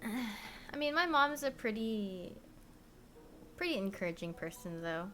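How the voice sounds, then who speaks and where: A young woman born in Korea, chatting casually to stream viewers from her bedroom, rambling with lots of "like" and "you know" and trailing off softly. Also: mid-range voice, sing-song, measured pace, slight background noise. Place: bedroom at home.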